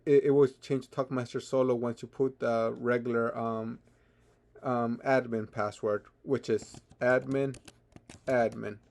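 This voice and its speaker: A man giving an unscripted software tutorial in a halting way, often stammering and repeating his words as he goes. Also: medium pitch, little pitch movement, vocal fry. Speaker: tutorial presenter.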